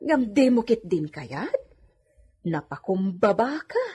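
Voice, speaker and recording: A woman's voice: mid-range voice, big pitch swings, slow, dark tone, slightly rough, full character voice. A woman playing a humble, deferential village housemaid who is easily flustered, in an Ilocano radio comedy drama. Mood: deferential, admiring. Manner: exclamatory, respectful, flustered.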